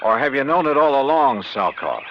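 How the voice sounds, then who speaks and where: Give this the sound of a 1950s radio detective: a tough, skeptical male investigator. His pitch swings widely as he probes. The recording is dull and vintage. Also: big pitch swings, moderate pace, noisy background. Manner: probing, skeptical. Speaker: lead radio actor.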